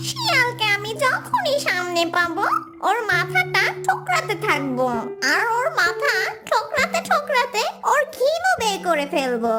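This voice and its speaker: A woman's voice: very high voice, huge pitch swings, measured pace, heavily acted. A woman voicing a small grieving mother tailorbird bent on revenge in a children's cartoon, emphatic and childlike. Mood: vengeful. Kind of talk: children's cartoon story dialogue. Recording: music behind the voice. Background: children's music.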